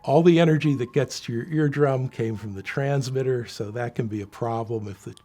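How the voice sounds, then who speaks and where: A man's voice: medium pitch, fairly quick, warm tone, faint music behind. A man giving a plain, unscripted, instructive explanation as he demonstrates equipment. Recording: faint background noise.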